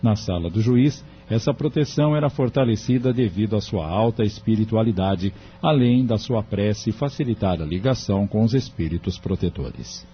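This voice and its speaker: Male narrator, fairly low voice, scripted audio drama storytelling, muffled sound, music behind. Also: lively intonation, moderate pace, few pauses.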